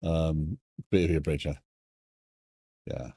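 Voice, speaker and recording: A man with a British accent softened by years in America, chatting casually on a coding live stream and thinking aloud in a stop-start way. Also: very deep voice, slow, breathy edge, no room sound, clean studio sound.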